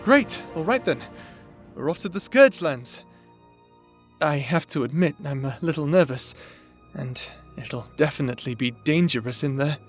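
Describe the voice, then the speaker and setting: An earnest young adventurer, voiced by a man with a high-pitched voice for a male. He sounds nervous and hesitant, keen to please, in a fantasy audio drama with soft music behind. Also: expressive, moderate pace, close to an everyday voice. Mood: nervously eager. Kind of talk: fantasy audio roleplay acting.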